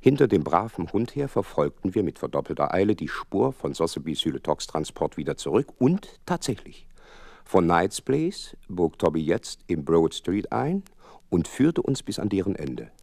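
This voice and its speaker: An actor playing a loyal, earnest detective's companion who narrates a radio play, with a husky voice and expressive delivery. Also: male voice, low voice, normal speed, dry recording, clean studio sound, exaggerated voice. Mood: vivid narrating.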